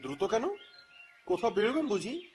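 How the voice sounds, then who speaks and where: An actor playing a wry, grumbling reporter who narrates his own detective adventure in an expressive, dramatized audio story. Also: high-pitched, normal speed, slight background noise. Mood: puzzled, grumbling. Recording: music bed under the voice.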